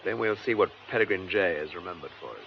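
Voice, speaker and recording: A shrewd, composed British detective in a radio play. His voice is deep and creaky, with animated intonation, on a slightly muffled recording. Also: fast talker, noisy background. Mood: brisk, reasoning. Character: composed, shrewd gentleman police detective superintendent. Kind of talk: radio drama acting.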